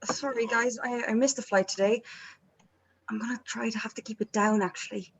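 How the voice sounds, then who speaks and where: A woman's voice: a little high, steady tone, brisk, husky. A woman playing a cheerfully unbothered band member in a comedy table read, breezy and nonchalant. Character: cheerfully unbothered bandmate phoning from danger.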